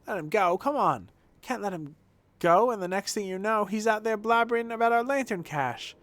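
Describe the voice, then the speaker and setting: A man's voice: high-pitched, normal speed, fairly bright tone, no room sound. A man voicing a brash, indignant boy who leads a street gang in a video game, slangy and blustering. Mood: defensive, blustering. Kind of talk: video game dialogue read aloud.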